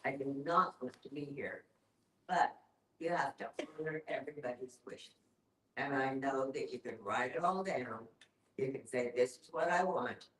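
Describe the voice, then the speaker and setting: A woman's voice: very deep voice, normal speed, a bit bright, slight background noise. A middle-aged or older woman giving blunt opinions in a casual group discussion. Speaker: discussion participant. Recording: echoey room, distant from mic.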